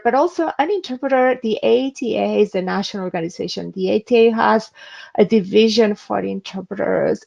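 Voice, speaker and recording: Woman, creaky gravelly voice, dull lo-fi webinar sound, earnest advisory tone. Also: medium pitch, a little mellow. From Spain. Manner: earnest, advisory, frequent hesitations. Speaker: interpreter giving a webinar. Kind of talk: instructional webinar talk with screen demo.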